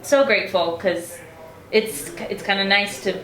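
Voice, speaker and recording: Guest spot: young woman singer, chatty and casual, mid-pitched, echoey room. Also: fairly even intonation, a little slow, fairly bright tone. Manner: chatty, many "like" fillers. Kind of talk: casual interview chat.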